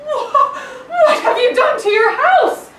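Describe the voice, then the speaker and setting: Shrill, scandalized storybook townsfolk scold in drawn-out, indignant exclamations, acted out by a woman reading aloud. The pitch leaps high and swoops, in a roomy recording. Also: expressive, a bit bright, full character voice. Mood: shocked. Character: outraged, scandalized storybook neighbors scolding an oddball. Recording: roomy, distant, noisy classroom recording.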